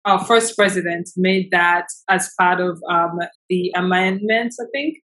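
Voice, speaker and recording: A woman's voice: on the low side, steady tone, measured pace, fairly bright tone, poor audio. Rambling woman from Tanzania. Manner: rambling, many fillers and restarts. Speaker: business founder, interview guest. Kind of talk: casual interview talk.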